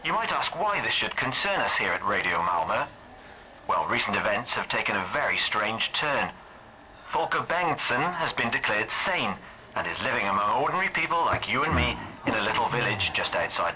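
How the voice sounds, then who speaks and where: Provocative radio presenter, very sharp bright tone, animated rhetorical delivery, faint noise. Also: male voice, medium pitch, low-quality recording. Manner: dramatic, rhetorical. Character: earnest, provocative male local radio presenter. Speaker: actor in a radio detective drama. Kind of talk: news-style radio broadcast within a drama.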